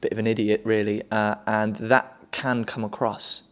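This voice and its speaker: A man with a slightly low voice and very even intonation talks casually about acting in an interview. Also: normal speed. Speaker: pantomime actor.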